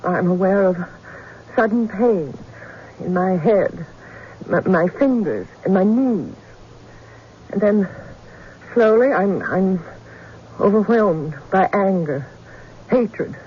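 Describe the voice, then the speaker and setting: A woman playing a tormented, haunted patient in a radio drama, speaking haltingly and intensely. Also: medium pitch, lively intonation. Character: tormented patient describing an invasion of the mind. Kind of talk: old-time radio drama acting. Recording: dull, noisy radio drama recording.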